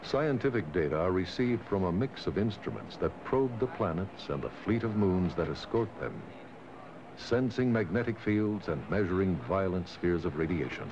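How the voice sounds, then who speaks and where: A man reading scripted documentary narration in a measured, formal way, on a dated soundtrack. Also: low-pitched, lively intonation, background noise. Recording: dull, dated lo-fi film soundtrack. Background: music.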